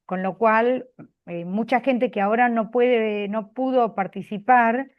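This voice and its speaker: Host type, Argentine woman, fairly high voice, chatty with lots of fillers, muffled video-call sound. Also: a little slow. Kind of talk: video call closing remarks. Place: public office.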